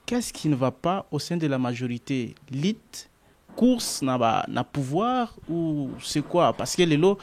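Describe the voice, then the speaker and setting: Man, Congolese accent, TV interviewer, probing, fairly high pitch. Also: very animated, measured pace. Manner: probing, mixes French and Lingala. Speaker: talk show host. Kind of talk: televised political interview.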